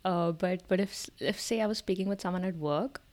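A woman's voice: lowish voice, brisk, a little mellow. Indian woman cohosting a podcast, chatty, explains stuff with lots of ums. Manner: chatty, explaining with frequent fillers and laughs. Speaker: podcast co-host. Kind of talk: casual chat.

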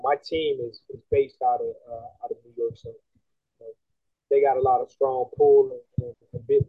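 A man's voice: mid-range voice, slightly rough, no echo. Laid-back island man on a tinny line. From Bahamas. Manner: laid-back, slangy, animated when making a point. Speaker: guest singer and songwriter. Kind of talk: casual interview chat. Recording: tinny phone-like line, dry.

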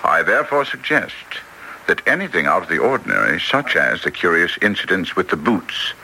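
A shrewd detective of classic radio drama, giving orders with formal authority. His voice is very deep and swings expressively through its range. It comes over a noisy vintage radio recording. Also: big pitch swings, fairly quick, vocal fry, bright, forceful tone, full character voice. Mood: grave, commanding.

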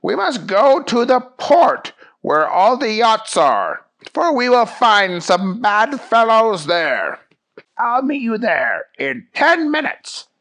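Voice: mid-range voice, big pitch swings, takes it slowly, a bit bright, voice pushed up, heavily acted. A storyteller voicing a proud, earnest fish-man superhero with a grand, declaring way of talking.

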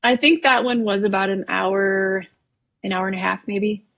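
Guest: thirties woman from Wisconsin, husky voice, relaxed and talkative, lots of filler ums. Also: mid-range voice, normal speed, dull audio. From Wisconsin, USA. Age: 30s. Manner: relaxed, chatty, laughs easily, frequent ums. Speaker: painter guest. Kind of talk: casual interview conversation.

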